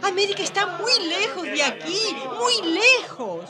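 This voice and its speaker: A woman's voice: very high-pitched, sing-song, unhurried, loud music behind the voice, heavily acted. An actress in a radio drama playing a proud, anxious mother, exclaiming to her child. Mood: explaining. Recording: loud crowd behind the voice.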